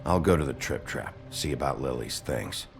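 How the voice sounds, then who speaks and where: An actor playing a gruff, weary sheriff detective in a noir video game, with a gravelly voice and a terse, blunt manner. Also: male voice, expressive, normal speed, soft background music. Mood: grim, determined. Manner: terse, blunt, low-key. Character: gruff, weary adult male fairy-tale sheriff detective.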